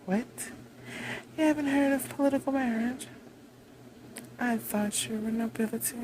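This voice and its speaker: A woman playing a haughty, vengeful vampire queen in a roleplay monologue, imperious, taunting and deliberate in delivery. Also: on the high side, darkish tone, a little hiss or hum, lightly acted, poor audio. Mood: taunting, commanding.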